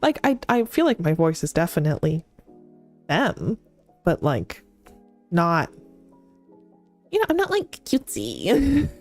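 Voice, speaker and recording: A young adult woman streaming as a VTuber, talking in a chatty, rambling way with many fillers and restarts. Her voice is fairly deep. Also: wildly swooping pitch, measured pace, long pauses, a little mellow. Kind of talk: casual chat stream. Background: faint background music.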